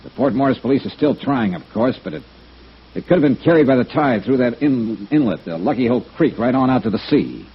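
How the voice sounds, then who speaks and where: Hard-nosed American radio detective, husky. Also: male voice, mid-range voice, animated, brisk. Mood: frank. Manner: clipped, questioning, tough. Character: hard-nosed, wry freelance insurance investigator. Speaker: lead actor of a detective series. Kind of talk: 1950s radio noir acting and first-person narration. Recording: dull old radio recording.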